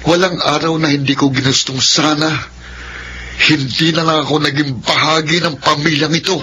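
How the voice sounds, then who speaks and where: An actor playing a sullen, resentful young son with a Filipino accent, brooding and bitter in a radio drama. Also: male voice, a little high, steady tone, normal speed, close to an everyday voice. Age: young adult. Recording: noisy radio drama track.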